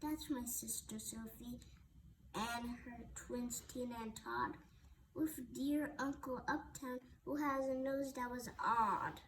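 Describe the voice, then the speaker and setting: A woman with a very high, youthful-pitched voice reads a rhyming children's story aloud at a slow pace. She is halting and often repeats words as she goes. Clear background noise sits behind her. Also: steady tone, a bit bright, rough recording. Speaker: storybook reader.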